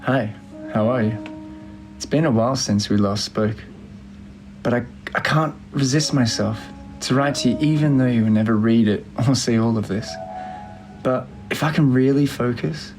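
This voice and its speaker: An actor plays a heartbroken young man narrating his unsent love letters for a film. His voice sits at a medium pitch with a lot of gravelly fry. He speaks plainly and wistfully, as if confiding, with faint music underneath. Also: moderate pace, darkish tone, lightly acted.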